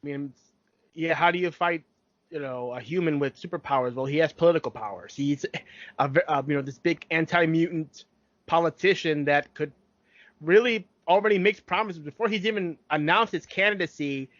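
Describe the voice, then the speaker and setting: Bright, higher-pitched man on a dry podcast. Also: moderate pace, no echo, muffled recording. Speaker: podcast co-host. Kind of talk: casual chat recapping a TV cartoon.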